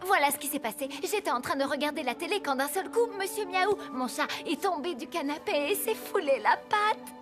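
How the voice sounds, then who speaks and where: Super high cartoon girl, crafty and melodramatic, music under it. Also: expressive, a little fast. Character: bold, crafty young girl, melodramatic fibber. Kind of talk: French cartoon dubbing.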